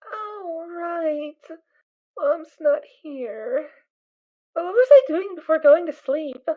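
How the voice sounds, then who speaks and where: Lady doing a super high squeaky filly, bouncy and ditzy, tinny phone-ish sound. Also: expressive, takes it slowly, heavily acted. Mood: drowsy. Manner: sleepy, dreamy, easily distracted. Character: cheerful scatterbrained little filly pony.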